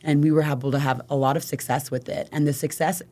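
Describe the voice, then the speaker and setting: A woman's voice: low voice, fairly even intonation, quick pace, warm tone. A woman city council member in a casual panel conversation, explaining her view and thinking aloud with frequent fillers.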